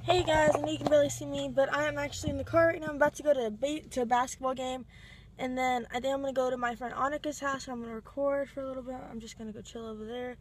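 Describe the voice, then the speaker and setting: A teenage girl chatting to her phone camera in a casual, giggly vlog, with a raspy voice after a game. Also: high voice, flat delivery, very fast, very noisy. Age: teens. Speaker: vlogger. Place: outdoors by a road, then a classroom, then a car at night. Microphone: phone held at arm's length.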